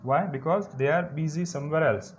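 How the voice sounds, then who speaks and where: A male lecturer with an Indian English accent, his voice sitting a little high. He teaches physiotherapy students in a recorded lesson, building each point step by step and stopping often to ask whether it is clear. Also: a little fast, a little hiss or hum. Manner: explains step by step, keeps asking "clear?".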